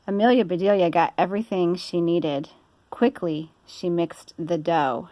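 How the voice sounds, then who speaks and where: A woman's voice: fairly low pitch, fairly even intonation, a little slow, dark tone, no room sound. A woman reading a children's story aloud in an informal way. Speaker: storybook reader.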